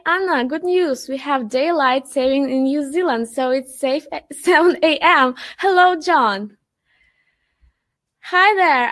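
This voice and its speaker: Upbeat high-voiced young woman hosting a webinar. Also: a bit bright. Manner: upbeat and chatty, greets viewers by name. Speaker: webinar host, marketing manager. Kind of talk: live webinar presentation, reading chat greetings.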